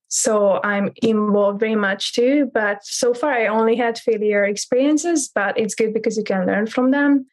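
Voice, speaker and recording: Young woman, halting. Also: medium pitch. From Hungary. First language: Hungarian. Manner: hesitant, many pauses and fillers. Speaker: student guest. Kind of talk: roundtable introduction.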